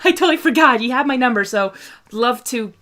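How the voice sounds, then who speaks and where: A woman's voice: a little high, animated, fairly quick, fairly bright tone. A young woman from Hungary hosting a casual fan discussion, chatting through viewer questions with lots of laughs, fillers and restarts. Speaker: host answering viewer questions.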